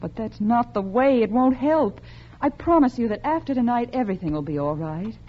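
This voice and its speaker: A woman playing a scheming, calculating unfaithful wife, acting in a melodramatic 1950s radio suspense style with dramatic pauses. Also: a little high, animated. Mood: anxious, coaxing. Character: scheming, calculating unfaithful wife plotting her husband's murder. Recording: dull vintage radio recording with music.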